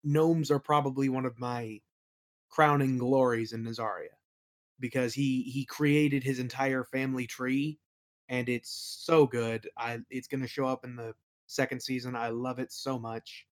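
A man's voice: mid-range voice, fairly even intonation, unhurried. A man being interviewed as a game master and creator, chatting casually in an informal, enthusiastic manner. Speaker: podcast guest, game master and audio play creator.

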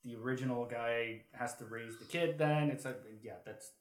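A man's voice: mid-range voice, moderate pace. A male podcast co-host with a mid-range voice talks casually with friends about history. He feels his way through stories in a hesitant, meandering manner. The room adds a noticeable echo.

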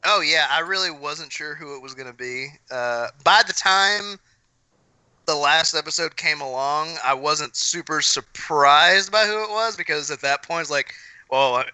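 A man's voice: a little high, unhurried, bright, forceful tone, clear, resonant tone, no room sound, rough recording. A man in casual conversation, telling things by voicing his own reactions as quotes. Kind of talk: casual chat.

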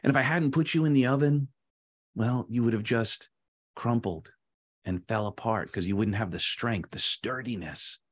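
A storyteller playing a male master potter who speaks in a measured, firm and patient way. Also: mid-range voice, breathy edge, voice pushed down, close to an everyday voice. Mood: patient explaining.

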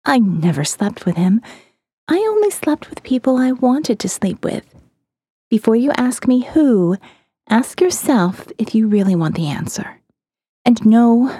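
A woman's voice: medium pitch, sing-song, a little slow, mellow, breathy edge, dry recording, studio quality. A woman voicing a candid, amused and wistful young woman in an audio novel, with a shrugging manner that tends to trail off. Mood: amused, frank.

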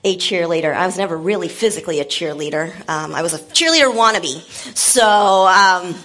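A woman giving a casual, enthusiastic talk to a church congregation, encouraging and emphatic, often laughing and sometimes getting loud. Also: lowish voice, expressive, fairly quick, poor audio.

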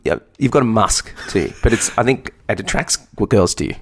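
A man's voice: on the low side, a little fast, a little hiss or hum. A man co-hosting a casual comedy podcast, ribbing his co-host and correcting him with dry banter.

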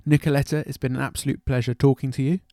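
An English man hosts a podcast, friendly and encouraging, with a gravelly, fry-tinged voice. His pitch stays level and unvarying. The recording is dry and close. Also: level tone, quick pace, warm tone, no echo. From England. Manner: friendly, encouraging, hesitant fillers. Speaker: podcast host. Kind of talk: casual interview chat.

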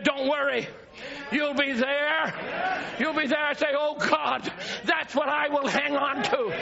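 High-pitched gravelly man preaching loud in church, muffled lo-fi recording with the congregation around him. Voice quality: high strained shouting voice, gravelly. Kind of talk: shouting fiery sermon.

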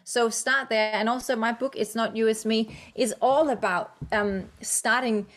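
A woman's voice: fairly high pitch, fairly even intonation, measured pace, a bit bright. A woman from Denmark whose first language is Danish, a guest author and coach speaking English in a podcast interview, encouraging and reflective with frequent fillers.

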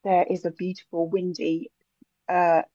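A woman co-hosting a podcast in casual chat, talking warmly with frequent ums and little laughs. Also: lowish voice, level tone, unhurried, no room sound, highs cut off. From England. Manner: chatty, giggly, hesitant with many ums. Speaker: podcast co-host.

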